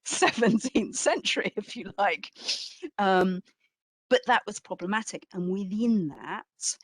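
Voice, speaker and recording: A woman's voice: lowish voice, no echo. A woman speaking as an exhibition curator in an informal academic talk, reflective and hedging, stressing key words.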